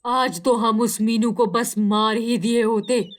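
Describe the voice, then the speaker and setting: Menacing kite villain voiced by a woman, slightly high. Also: takes it slowly. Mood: scheming. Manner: threatening, boastful. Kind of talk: Hindi cartoon voice acting.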